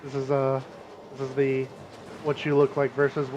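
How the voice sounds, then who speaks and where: A man's voice: mid-range voice, monotone, moderate pace, dull audio, noisy background. A man bantering casually as a teammate during a game. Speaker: gamer teammate. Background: game music.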